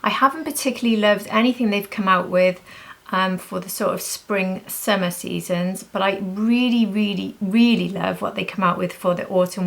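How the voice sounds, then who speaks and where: A woman with an average-pitched voice that often crackles into fry. She talks like a beauty vlogger going through favourite products, chatty and informal. Her delivery gushes, leaning on repeated words for emphasis. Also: fairly bright tone. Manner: gushing, emphatic repetition. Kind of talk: casual chatty product review.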